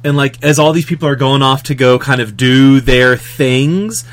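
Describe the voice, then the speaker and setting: A man co-hosting a casual chat, telling a story in a relaxed, rambling way. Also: medium pitch. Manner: relaxed, rambling, with lots of fillers and quick asides.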